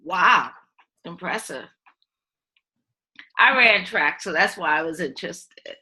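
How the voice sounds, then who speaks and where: A woman's voice: fairly low pitch, lively intonation, slow, sharp tone, a little hiss or hum. A woman teacher asking students friendly, curious questions on a video call.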